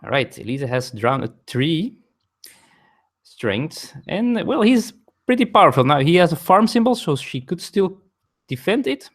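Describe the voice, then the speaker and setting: Man explaining a board game, lively pitch. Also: measured pace. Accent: non-native English. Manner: explains rules step by step, chats with viewers, self-corrects often. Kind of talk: live-streamed board game play explaining rules. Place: home, at a game table.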